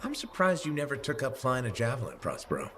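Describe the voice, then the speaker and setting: An actor playing an easygoing, dryly wry freelancer pilot in casual video game dialogue. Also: male voice, mid-range voice, huge pitch swings, fast, a bit bright, a little hiss or hum. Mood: friendly, reassuring.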